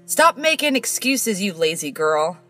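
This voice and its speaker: Cruel cartoon stepmother played by a woman, middle-aged, haughty and scolding, dramatically varied pitch, soft music behind. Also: big pitch swings, unhurried. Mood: imperious, scolding. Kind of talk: children's cartoon voice acting.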